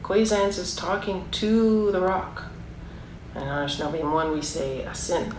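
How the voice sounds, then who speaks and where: A woman's voice: lowish voice, expressive, a little slow, a little mellow. A woman reading a picture book aloud for young children, with encouraging, chatty asides and questions to her listeners. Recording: roomy echo, clear background noise.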